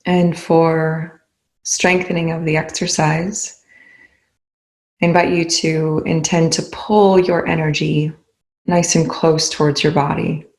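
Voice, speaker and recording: A woman leading a guided meditation in slow, measured phrases with pauses between them. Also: low-pitched, flat delivery, a little mellow, rough recording.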